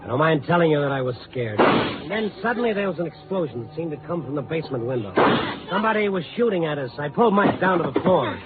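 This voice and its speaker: An American actor playing a tough, steady night-beat newspaper reporter in a 1950s radio drama, speaking in a clipped, dry manner. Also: male voice, on the high side, quick pace, over loud music. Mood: tense narration. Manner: clipped, dry, hard-boiled. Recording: boxy, narrow 1950s radio recording.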